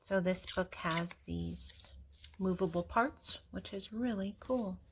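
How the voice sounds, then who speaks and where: An older woman hosting a storytime for young children, reading a picture book aloud in a gentle way and talking directly to her little listeners. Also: medium pitch, takes it slowly, creaky, a little hiss or hum.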